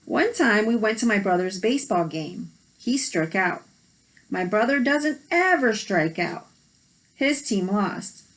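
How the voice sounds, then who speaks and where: Slow, lively, higher-pitched woman reading aloud. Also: rough recording. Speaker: read-aloud co-host. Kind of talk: reading a picture book aloud with short reactions.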